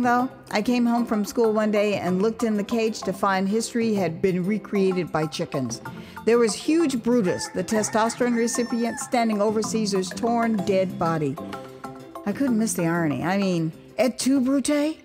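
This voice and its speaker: An American woman narrating her own memoir as an audio drama, expressive and wry, with conversational asides. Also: medium pitch, a little slow, over music. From United States. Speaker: author and storyteller.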